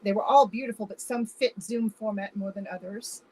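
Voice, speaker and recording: A woman's voice: mid-range voice, fairly even intonation, brisk, a little mellow, a little hiss or hum, rough recording. A woman giving effusive, emphatic answers as a guest director in an informal interview. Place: remote video call.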